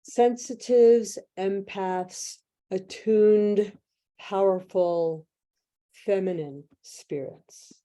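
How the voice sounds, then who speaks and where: A woman leading a guided meditation in measured, hushed phrases with long pauses, like a spiritual transmission. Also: mid-range voice.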